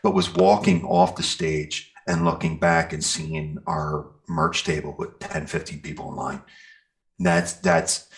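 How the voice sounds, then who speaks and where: A very low-voiced American man with a gravelly, creaky edge. He talks casually as an interview guest, rambling through stories and leaning on "you know" and "like". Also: expressive, a little slow. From Pennsylvania, United States. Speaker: interview guest, metal band singer and guitarist.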